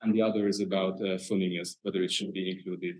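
Hungarian-accented male presenter, slightly low voice, hesitant delivery full of fillers. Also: little pitch movement. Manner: halting, many filler sounds. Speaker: conference speaker. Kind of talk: conference presentation. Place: conference hall.

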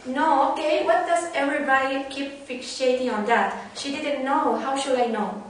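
A woman's voice: high voice, normal speed, lightly acted. A woman with a Spanish accent playing a heartbroken, defensive young divorced man in an amateur script reading. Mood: defensive, upset. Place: wooden-floored room indoors. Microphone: far camera. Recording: echoey room, distant mic, faint noise.

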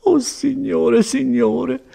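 A man's voice: high-pitched, lively intonation, moderate pace, warm tone, voice pushed up. A man voicing a distressed, pleading peasant woman who laments in an audiobook story. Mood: tearful despair. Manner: plaintive, lamenting. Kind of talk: dramatized audiobook reading.

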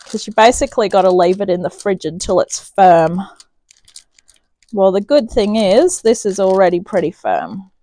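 A woman's voice: mid-range voice, big pitch swings, normal speed, mellow, dry recording. A woman from New Zealand hosting a home cooking video, chatting casually as she works in her kitchen and talking herself through each step. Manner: chatty, homely, thinks aloud while cooking. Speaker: home cooking channel host. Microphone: camera mic in the kitchen, off to the side.

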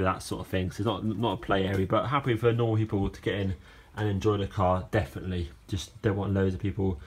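A man's voice: fairly low pitch, breathy edge. A man casually talking through a project while filming it on his phone outside a garage, rambling with fillers and restarts. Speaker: car owner vlogger. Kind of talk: casual how-to vlog. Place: outdoor garage yard. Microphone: phone camera held while filming.

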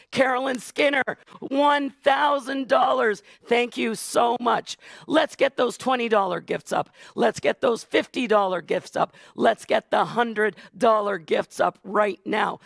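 A hoarse Canadian man hosting a live charity gala auction, hyping donors with rapid, energetic calls from a studio stage. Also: extremely high pitch, dry recording. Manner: rapid hype, calling out donors. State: hoarse, losing voice. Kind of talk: high-energy live fundraising emcee. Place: studio stage with blue curtains.

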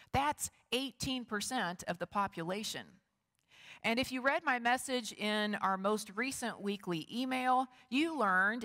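Woman pastor in a reverberant sanctuary. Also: mid-range voice. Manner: measured, expressive reading with slow emphatic pauses. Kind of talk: scripture reading and sermon. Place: large wood-paneled church sanctuary. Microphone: lectern and stand microphone, far camera. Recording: long church hall reverb.

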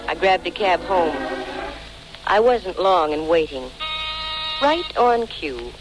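A woman's voice: lowish voice, animated, slow pace, over music. An American actress playing a wisecracking female private eye and former model, narrating a 1940s radio detective drama with breezy, dry sarcasm. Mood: dry, wry. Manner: dry, wry, sardonic delivery. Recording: noisy 1940s radio broadcast recording.

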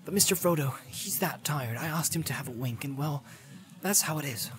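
A man doing an earnest loyal hobbit servant, plain-spoken, a bit raised in pitch, hushed, music underneath. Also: lively intonation, a little fast, close to an everyday voice. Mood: apologetic, gruff.

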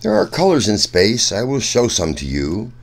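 A man reading a rhyming children's picture book aloud in an expressive, sing-song storyteller's way. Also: on the low side, normal speed, gravelly. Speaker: children's story reader, host.

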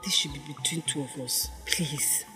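A troubled young Nigerian woman in a film drama. Her voice is low, with an earnest, pleading manner, and music plays faintly behind it. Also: moderate pace, pushed and bright. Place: outdoors among trees.

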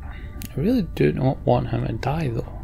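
A man's voice: mid-range voice, expressive, unhurried, vocal fry, warm tone, soft background music. A man hosting a Let's Play, giving casual game commentary and reading the story text aloud.

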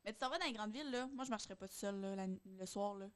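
A woman's voice: mid-range voice, racing, crowd noise. A young woman with a Quebec French accent, speaking emphatically and with strong opinions in a casual group discussion on a close studio mic. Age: young adult. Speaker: podcast panelist. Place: small podcast studio. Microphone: close studio microphone on boom arm.

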